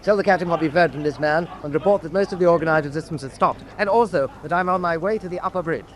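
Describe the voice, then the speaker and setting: British first lieutenant, played by a man: authoritative, brisk, raised pitch, wartime radio drama, slight background noise. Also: natural voice. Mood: brisk questioning. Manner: clipped, authoritative. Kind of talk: naval radio drama acting.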